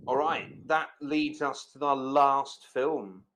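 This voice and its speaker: A young man hosting a relaxed movie chat, his voice bright and forward, with pitch sitting in an ordinary male middle. He rambles with enthusiasm, circling back with fillers and quick restarts as he explains what he loves. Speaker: podcast host. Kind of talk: casual chat.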